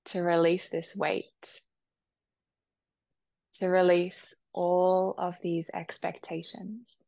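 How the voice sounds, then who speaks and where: A woman leading a guided meditation with soft, soothing instructions. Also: lowish voice, little pitch movement, gravelly, dry recording, highs cut off. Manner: soft, slow, soothing instructions with long pauses.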